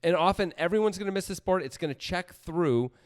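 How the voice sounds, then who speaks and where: A man's voice: high-pitched, fairly quick. A man co-hosting a discussion show, arguing his points in an informal, emphatic conversational way. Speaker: poker podcast co-host analysing hands. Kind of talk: conversational commentary and analysis.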